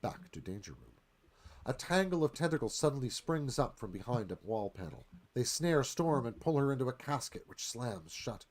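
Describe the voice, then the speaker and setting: A man's voice: mid-range voice, big pitch swings, normal speed, a little mellow. A man narrating scripted scene directions as the narrator of a radio theatre read.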